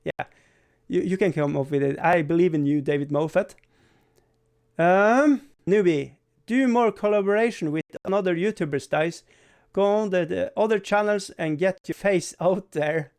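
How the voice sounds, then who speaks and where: A young man speaking English, his voice sitting a little high for a man. He rambles casually to his livestream chat, stumbling over restarts and laughing at himself. The sound is close and dry, with no room echo. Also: normal speed, a little mellow, clear, resonant tone. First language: Swedish. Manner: rambling, self-deprecating, frequent stammered restarts and laughs. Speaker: livestream host.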